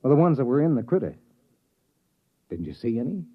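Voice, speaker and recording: A man's voice: medium pitch, very animated, a little fast. An actor playing a wry space-expedition crewman who narrates a 1950s sci-fi radio drama with dramatic storytelling delivery. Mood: matter-of-fact. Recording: muffled old radio recording with music.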